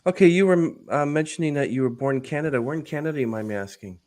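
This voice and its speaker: A man's voice: a little high, darkish tone. Chatty male podcast host. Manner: chatty, halting, loose phrasing. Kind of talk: casual interview questions.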